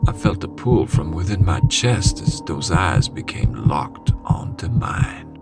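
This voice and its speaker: A man reading a scary first-person story in a dramatic, suspenseful way with deliberate pauses. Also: deep voice, sing-song, creaky. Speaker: horror story narrator. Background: music.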